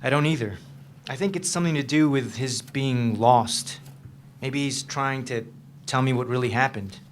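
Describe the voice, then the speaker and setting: A man's voice: medium pitch, normal speed, slight background noise, natural voice. An actor reading the part of a troubled, guarded man in a staged reading of a novel, with a hesitant, understated delivery. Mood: uneasy, confiding. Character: troubled, guarded man confiding in his girlfriend, haunted by past.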